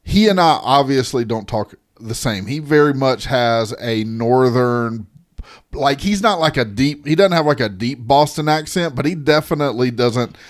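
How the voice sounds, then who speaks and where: A man's voice: mid-range voice, animated, moderate pace. A man from the Southern United States co-hosting a podcast in casual chat, rambling and restarting his sentences, often teasing his co-host.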